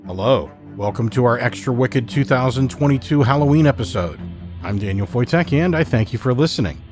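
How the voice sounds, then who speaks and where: Male podcast host, measured and deliberate, mid-pitched voice, scripted intro read. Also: animated, a little mellow, faint music behind.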